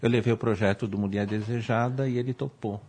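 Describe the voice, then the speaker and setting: An older man with a Brazilian accent, a film director recalling his work in an interview, unhurried and often trailing off. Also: fairly low pitch, steady tone, a little mellow, husky. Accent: Brazilian Portuguese. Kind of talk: relaxed interview recollection.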